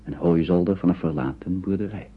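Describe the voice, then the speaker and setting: An actor narrating a radio play as a grave storyteller, measured and literary. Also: male voice, low-pitched, lightly acted. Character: grave male storyteller recounting strange dream scenes. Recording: tinny, noisy old radio play recording.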